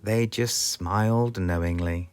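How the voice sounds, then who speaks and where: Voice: low-pitched, takes it slowly. A British narrator reading a children's story expressively, drawing the listener in. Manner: expressive, asks the listener questions. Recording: music playing behind the voice.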